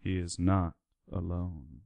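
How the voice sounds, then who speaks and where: A man's voice: sits low, fairly even intonation, takes it slowly, creaky, no room sound, phone-quality sound. A man giving scripted narration for a radio play.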